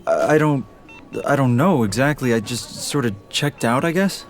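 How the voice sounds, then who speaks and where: An actor playing an earnest, defensive teenage schoolboy in a radio drama, halting and expressive. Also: male voice, mid-range voice, soft background music, lightly acted. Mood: dazed, unsure.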